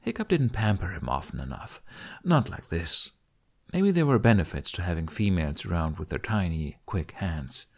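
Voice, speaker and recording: Low-voiced man narrating with lively intonation. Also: moderate pace. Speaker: audiobook narrator. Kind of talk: scripted story narration.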